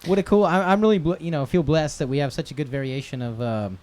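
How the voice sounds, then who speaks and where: Fast, enthusiastic man hosting a live show. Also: fairly high pitch, darkish tone. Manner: enthusiastic, fast, lots of fillers and restarts. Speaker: show host. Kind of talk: casual live show interview. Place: performance space in Brooklyn.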